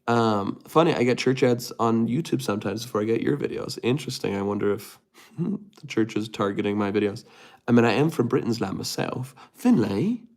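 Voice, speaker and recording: A man's voice: mid-range voice, expressive, normal speed, darkish tone. A man hosting a casual livestream, reading viewer comments aloud and answering them in a rambling way with many restarts. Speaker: livestream host reading chat.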